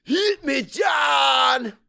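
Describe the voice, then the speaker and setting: Loud, high-pitched old Cajun man. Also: expressive, drawn-out words, creaky, dry recording, clean studio sound, heavily acted. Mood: angry goading. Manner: loud, emphatic, folksy, mixes French into English. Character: fiery old Cajun man, storytelling elder, combative.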